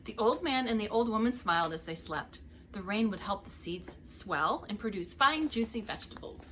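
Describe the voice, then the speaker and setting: A woman's voice: mid-range voice, expressive, normal speed, pushed and bright, slight background noise, poor audio. A woman reading a story aloud to young children like a preschool teacher, theatrically drawing out the action words and talking to her listeners.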